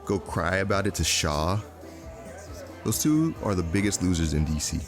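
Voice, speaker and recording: A man's voice: deep voice, big pitch swings, normal speed. A man playing a cocky, defiant operative in a naturalistic drama, brash and dismissive. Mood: defensive. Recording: music under dialogue.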